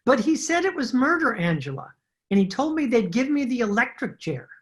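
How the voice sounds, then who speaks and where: A husky, swooping voice for a nervous swindler in an old-time radio mystery acted by a woman. He is a man of fussy formal politeness who hesitates, stammers and dodges. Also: fairly high pitch, big pitch swings, fairly quick. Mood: pleading, frightened. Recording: home recording over video call.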